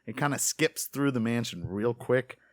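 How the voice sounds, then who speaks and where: A man's voice: mid-range voice, lively intonation, normal speed, no echo, clean studio sound. A man hosting a casual podcast discussion, talking in a rambling, opinionated way. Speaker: podcast host leading the discussion. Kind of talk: casual chat.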